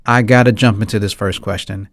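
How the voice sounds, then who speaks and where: A man's voice: lowish voice, fairly quick, vocal fry, no room sound, studio quality. American man, playful podcast host. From United States. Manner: animated, playful, conversational asides, often asking "right?". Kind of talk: casual film discussion to audience. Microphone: close studio mic on boom arm, headphones.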